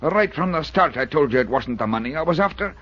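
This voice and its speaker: An actor playing a kindly, hopeful older amateur inventor in a radio drama, with a folksy, halting, chuckling manner. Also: male voice, on the high side, expressive, a bit bright. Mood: proud, contented. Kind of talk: old-time radio drama acting. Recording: dull vintage radio recording.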